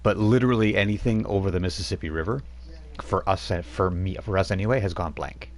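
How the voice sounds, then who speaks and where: A man narrating a tabletop game as game master, speaking in a halting way with many fillers. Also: low voice.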